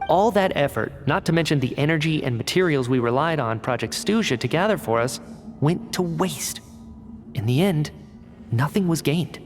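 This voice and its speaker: An actor playing an analytical male researcher in a video game cutscene, explaining in a measured, cerebral way. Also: fairly high pitch, lively intonation, natural voice. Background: game score music.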